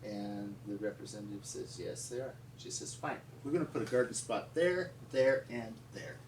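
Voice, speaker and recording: An American man telling stories in an unscripted, conversational way, with a rambling, halting delivery. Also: on the low side, darkish tone. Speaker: paleontologist and museum founder telling stories. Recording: echoey, distant mic with background noise.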